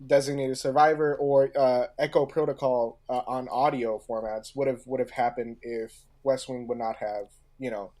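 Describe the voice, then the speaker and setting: A man co-hosting a casual podcast discussion, speaking haltingly and often pausing in the middle of a phrase. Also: medium pitch, flat delivery, mellow. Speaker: podcast co-host.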